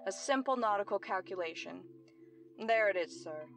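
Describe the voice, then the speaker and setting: A woman's voice: mid-range voice, animated, moderate pace, gravelly, soft background music. An actress playing a brilliant young woman detective, explaining her deductions precisely in old-time radio mystery style. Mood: intent, explanatory.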